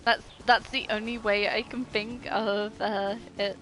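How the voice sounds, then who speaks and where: A woman's voice: medium pitch, little pitch movement, unhurried, fairly bright tone, soft background music. A woman chatting casually and informally as she streams her gameplay, swearing freely. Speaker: game streamer. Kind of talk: casual live gameplay chat.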